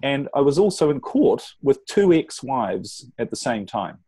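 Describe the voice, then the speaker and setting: Mellow-voiced New Zealand man, earnest. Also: medium pitch, sing-song, breathy edge, dry recording. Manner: earnest, measured, with restarts. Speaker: relationship coach. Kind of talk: interview guest telling his story.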